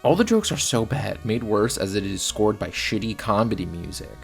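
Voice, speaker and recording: A man with a fairly low, gravelly voice delivers scripted film commentary. He is blunt and sardonic, like a reviewer laying out complaints, with faint music underneath. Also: big pitch swings, moderate pace. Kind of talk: scripted video essay commentary.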